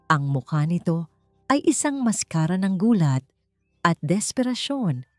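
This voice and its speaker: A woman's voice: lowish voice, sing-song, a little slow. A woman narrating a dramatic audiobook story in a scripted storytelling style. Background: background music.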